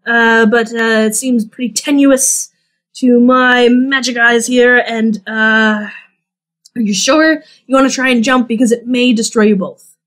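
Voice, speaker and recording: A woman's voice: on the high side, fairly even intonation, a little slow, fairly bright tone. A woman voicing a polite, fussy lizard man shopkeeper in a tabletop game, hesitant and apologetic with many fillers. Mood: fretful. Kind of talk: game master voicing a character.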